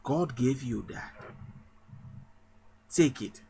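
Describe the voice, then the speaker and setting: A man's voice: mid-range voice, expressive, extremely slow, vocal fry, dark tone, slight background noise. A man from Cameroon, a pastor, giving an emphatic motivational sermon with a repetitive rallying cadence.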